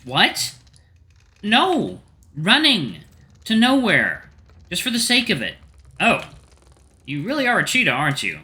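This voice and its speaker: A young female cheetah sailor, proud and playful, full of emphatic exclamations, voiced by a man. Her voice sits far above a man's usual pitch and swings widely. The tone is bright and sharp. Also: huge pitch swings, measured pace, long pauses, exaggerated voice. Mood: incredulous.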